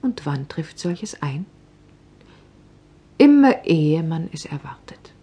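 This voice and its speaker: Female storyteller, husky lower register, expressive fairy tale narration with whispered moments. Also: slow pace. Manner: expressive, drops often to a soft whisper. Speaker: audiobook narrator.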